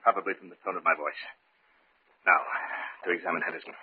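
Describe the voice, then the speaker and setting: An actor playing a commanding, dismissive doctor of science in old-time radio horror style. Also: male voice, sits low, steady tone, fast talker, natural voice. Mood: brisk, stern. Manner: authoritative, dismissive. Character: Doctor Sindler, commanding male scientist absorbed in his monstrous experiment. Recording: muffled, hissy vintage radio recording.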